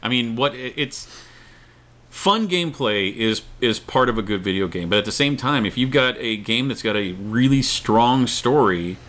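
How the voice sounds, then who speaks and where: A man's voice: medium pitch, animated, normal speed, a bit bright. A middle-aged man co-hosting a casual opinion show, talking emphatically and repeating himself for stress. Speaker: co-host of a show. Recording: clear background noise, room ambience.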